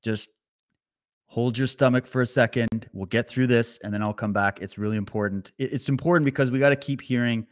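A man hosting a political commentary stream, talking straight to his viewers with animated sarcasm. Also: medium pitch, a little fast. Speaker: commentary host.

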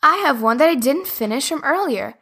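Woman doing an eager young girl, high voice, slow and bright, storybook heroine. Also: lively intonation, exaggerated voice. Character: kind, helpful young girl lost in a toy world.

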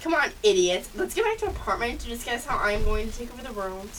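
A woman's voice: on the high side, very animated, fast talker, fairly bright tone. Scornful female cat villain acted by a woman, sarcastic, superior, amateur radio comedy, distant mic, faint hiss. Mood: smug. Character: bossy, scornful young female cat scheming world domination. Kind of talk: amateur scripted audio comedy acting.

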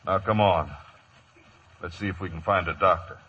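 An actor playing a dry, loyal, folksy cowboy sidekick in a 1950s radio drama. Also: male voice, sits low, fairly even intonation, moderate pace, dull audio, close to an everyday voice. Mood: urgent, earnest. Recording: old 1950s radio broadcast.

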